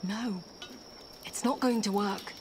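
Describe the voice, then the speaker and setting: A woman plays a defiant young princess in a fantasy audio game. Her mid-pitched delivery is terse and determined, the voice of someone who will not be left behind. Night insects are clearly audible around her. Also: slow pace, noisy background, close to an everyday voice. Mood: stubborn. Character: young blind runaway princess, stubborn and defiant. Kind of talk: video game voice acting.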